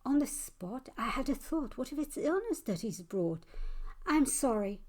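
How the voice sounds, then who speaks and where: A woman reading a rhyming children's story aloud in a deliberate, expressive storytelling manner. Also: high-pitched. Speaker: storybook reader. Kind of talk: scripted children's read-aloud, rhyming narration.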